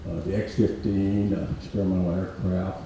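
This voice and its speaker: An older man, hoarse, giving a halting speech with many pauses. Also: low voice, flat delivery, dark tone, a little hiss or hum. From Mississippi Delta, USA. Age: 80s. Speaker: guest speaker. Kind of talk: informal speech at a reunion event. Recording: echoey hall audio, distant mic.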